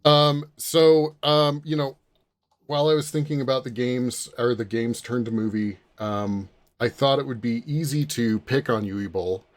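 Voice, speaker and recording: A man hosting a panel podcast in a casual, conversational way, often pausing to find his words. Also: medium pitch, steady tone. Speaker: podcast host leading a panel.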